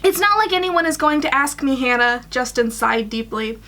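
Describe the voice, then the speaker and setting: A woman's voice: high voice, animated, normal speed, bright, forceful tone. A woman in her twenties reading a fan story aloud and chatting informally in a small room at home. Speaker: guest reader on a fan fiction livestream.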